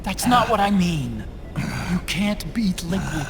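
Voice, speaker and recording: A man's voice: sits high, animated, slow, music in the background, exaggerated voice. A man playing an anxious, earnest scientist sidekick in a video game, speaking in a pleading, troubled manner. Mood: despairing. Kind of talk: dramatic video game cutscene acting.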